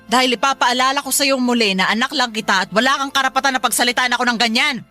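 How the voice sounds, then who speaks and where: Radio drama role: stern Filipino mom played by a woman, high bright voice, emphatic and exasperated scolding. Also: fast talker, faint music behind. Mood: indignant scolding.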